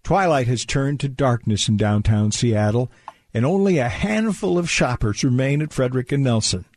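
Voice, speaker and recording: A man's voice: mid-range voice, expressive, moderate pace, darkish tone, dry recording. A man announcing and narrating a radio play in a scripted 1950s broadcast style.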